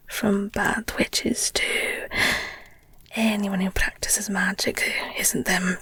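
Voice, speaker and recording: A woman playing an affectionate, candid werewolf girlfriend in an intimate ASMR audio roleplay, talking in a soft, hesitant, breathy whisper with gently teasing, wry asides. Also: medium pitch, no echo, full character voice. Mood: wry, explanatory.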